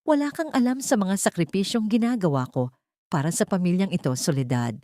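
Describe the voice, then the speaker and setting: A woman's voice: mid-range voice, fairly quick, mellow, no room sound, natural voice. Female narrator as a threatening husband in story fiction, defensive and angry, dry sound. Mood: defensive fury. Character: angry, menacing husband with a shameful secret. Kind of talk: dramatic audio story dialogue.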